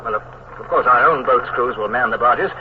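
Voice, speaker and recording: A commanding naval commodore gives orders and narrates in an authoritative, even voice, played by a man. The sound is narrow and phone-like, with a faint hiss under it, as in a vintage radio serial. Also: mid-range voice, fairly quick, close to an everyday voice. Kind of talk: 1940s radio acting. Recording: tinny old radio broadcast.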